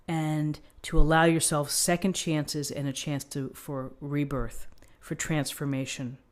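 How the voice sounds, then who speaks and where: A woman giving an unscripted tarot reading, explaining things in a gently encouraging manner. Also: low-pitched. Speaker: tarot reader.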